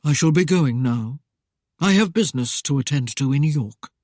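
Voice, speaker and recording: Male narrator doing a cold, aloof lord, curt and measured, slightly higher voice, dry close sound. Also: animated, a little mellow, no echo. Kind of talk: audiobook character voice.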